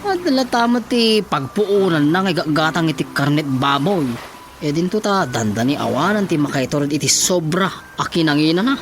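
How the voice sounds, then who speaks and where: A male actor plays a sly debtor in a radio comedy. He is giggly and stretches out the ends of his phrases, with music clearly playing behind him. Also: a little high, expressive, natural voice. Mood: grumbling. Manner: chuckling, drawn-out phrase endings. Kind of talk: radio comedy drama acting.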